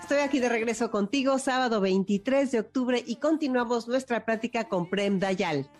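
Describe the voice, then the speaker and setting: Woman, radio host, brisk announcer delivery, fairly high voice, music underneath. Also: a little mellow. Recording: radio segment with music bed.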